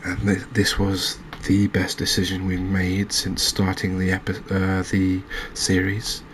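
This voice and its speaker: A soft-spoken man narrating a gaming recap in a calming, hesitant ASMR style. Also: deep voice, a little slow, a little hiss or hum, poor audio. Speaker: host of a cozy gaming channel.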